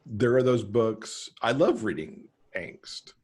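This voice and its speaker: Husky-voiced middle-aged man chatting. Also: mid-range voice, expressive, slow pace, a little mellow. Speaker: guest author. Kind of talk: casual chat about writing. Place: indoor room at home, video call. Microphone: video call.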